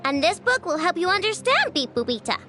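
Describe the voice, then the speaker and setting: A cheeky little girl inventor in a video game. The voice is extremely high and lively, childlike, breezy and full of boastful self-assurance. Music plays clearly behind it. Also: fairly quick, exaggerated voice. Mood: breezy, confident.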